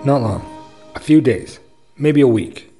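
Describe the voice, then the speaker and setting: Man acting mild reporter, medium-pitched. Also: expressive, unhurried, dark tone, faint music behind. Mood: mild, evasive. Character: mild, polite younger male newspaper reporter. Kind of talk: audio drama acting.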